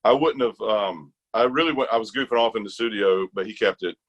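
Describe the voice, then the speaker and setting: A man with an American accent and a low voice talks in an informal interview. He rambles a little, thinking aloud and restarting his phrases as he goes. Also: fairly quick, a bit bright. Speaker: interview guest, bass gospel quartet singer. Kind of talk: casual interview chat.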